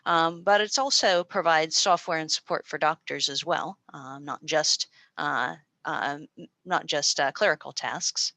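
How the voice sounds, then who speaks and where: A woman's voice: sits low, measured pace, pushed and bright, no echo. An American woman presenting a university program over a video call, chatty and informal with frequent fillers and restarts. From United States. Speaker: presenter and postdoctoral researcher. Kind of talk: online information session presentation. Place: small room at a university.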